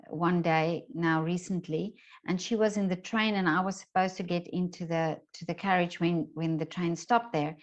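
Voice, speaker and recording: A middle-aged woman with a fairly low voice chats as an interview host. She is effusive and conversational. The recording is slightly dull and muffled. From lives in Vienna, Austria. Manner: chatty, effusive, frequent agreeing interjections.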